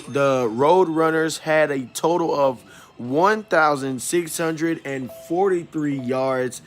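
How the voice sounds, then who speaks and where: A man hosting an informal sports game preview, speaking haltingly and often correcting himself. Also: fairly high pitch. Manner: halting, stumbling delivery with self-corrections.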